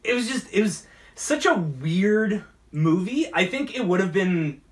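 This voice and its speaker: A man hosting a casual geek talk show, rambling about movies in a halting way and often repeating his words. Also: high voice, big pitch swings. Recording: distant from the mic.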